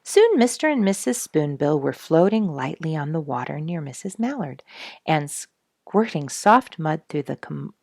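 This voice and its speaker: Low-voiced, animated woman storyteller. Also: vocal fry, dry recording, clean studio sound. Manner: expressive, storybook phrasing. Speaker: storyteller for children. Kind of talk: read-aloud children's story narration.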